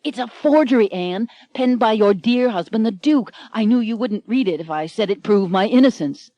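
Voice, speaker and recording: A woman's voice: fairly high pitch, animated, moderate pace, dry recording, muffled recording. A woman voicing an earnest, devoted young male hero in a spoken story.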